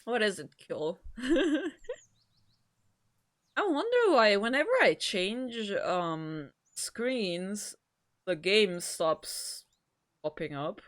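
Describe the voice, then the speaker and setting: A woman with a deep voice who streams, reading a visual novel aloud and chatting with her viewers in a giggly, rambling way. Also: very slow, long pauses. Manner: giggly and rambling in chat, even-paced when narrating. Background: faint game music.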